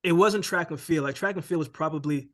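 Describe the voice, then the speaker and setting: A relaxed man from Jamaica, in his thirties, speaks as a guest on an interview show. His voice sits a little higher than most men's, and he tells his story in a loose, easy way, often adding "man" and "right?". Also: fairly quick. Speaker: interview guest, former sprinter.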